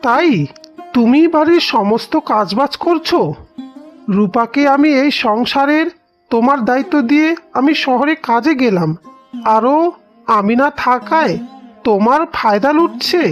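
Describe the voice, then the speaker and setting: Woman acting stern young cartoon husband, high voice, indignant, scolding, dull sound with music underneath. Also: measured pace. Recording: dull audio with music behind.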